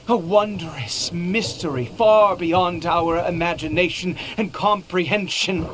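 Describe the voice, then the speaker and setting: Theatrical anguished zealot father, expressively varied. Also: fairly high pitch. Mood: bitter, ominous. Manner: theatrical, intense, halting dramatic pauses. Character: anguished father turned fanatical abyss devotee, male, theatrical. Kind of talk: dramatic video game voice acting. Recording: noisy game stream capture.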